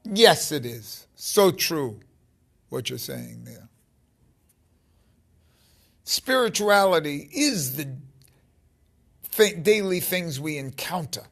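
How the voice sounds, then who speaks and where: Slow, gravelly man in his fifties. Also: on the high side, animated, fairly bright tone. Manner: halting, rambling, emphatic stresses. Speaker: spiritual teacher. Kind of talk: informal vlog talk on spirituality. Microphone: phone held close at arm's length.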